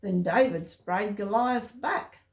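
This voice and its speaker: A woman hosting a children's storytime, reading aloud simply and deliberately and addressing young listeners directly. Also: medium pitch. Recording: roomy, echoey home recording.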